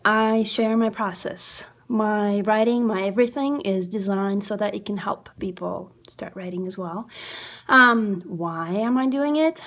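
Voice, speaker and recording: A woman in her thirties with a Russian accent, talking straight to a close camera at home in a goofy, theatrical vlog that swings from whispers to excited squeals. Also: mid-range voice, measured pace. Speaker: indie writer and vlogger. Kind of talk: playful casual vlog to camera. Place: small room indoors at home. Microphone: phone or webcam close to the face.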